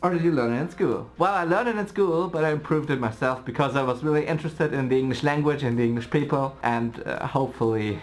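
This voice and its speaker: A man's voice: mid-range voice, fast talker, few pauses. A man in his twenties with a German accent, a native German speaker hosting a casual vlog Q&A and reading out viewer questions in a playful, giggly way. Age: 20s. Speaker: YouTuber.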